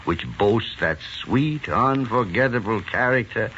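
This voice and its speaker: A man's voice: lowish voice, lively intonation, measured pace, fairly bright tone. A man announcing a comedy sketch in 1940s radio style. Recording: muffled 1940s radio recording, faint noise.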